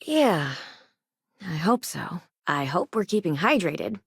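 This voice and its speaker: A caring but tired colony doctor in a space game. Her voice sits a little low for a woman and moves with lively, expressive inflection. She is matter-of-fact and conversational, recorded very dry and close. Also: slow pace, husky, dry recording, full character voice. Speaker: video game voice actor. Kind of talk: scripted game dialogue lines.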